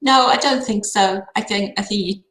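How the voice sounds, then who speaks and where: A woman's voice: mid-range voice, moderate pace, fairly bright tone. A woman chatting casually as a guest on an online call. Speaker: guest receiving a card reading. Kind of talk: casual chat. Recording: roomy, echoey online call.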